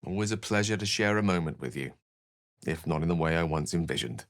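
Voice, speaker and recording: A man's voice: low voice, expressive, fairly quick, no echo, clean studio sound. A man voicing an articulate, bookish human wizard in a video game, wry and gallant, with theatrical, wordy delivery. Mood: wistful, courteous. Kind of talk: video game character acting.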